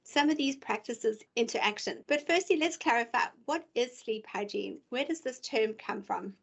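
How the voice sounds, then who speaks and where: A woman hosting a podcast interview, speaking in a husky, short-on-sleep voice with an upbeat, chatty manner. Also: on the high side, expressive, normal speed. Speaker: podcast host.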